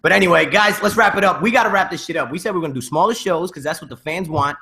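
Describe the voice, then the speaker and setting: A man hosting a casual podcast with friends, bantering excitably and emphatically in profane slang. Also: high voice, fast talker. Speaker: podcast host.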